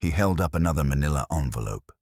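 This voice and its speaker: A man reading detective fiction in the first person as a narrator, with wry, measured storytelling. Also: rumbling low, expressive, a little mellow, slightly rough, no echo, studio quality. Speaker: audiobook narrator.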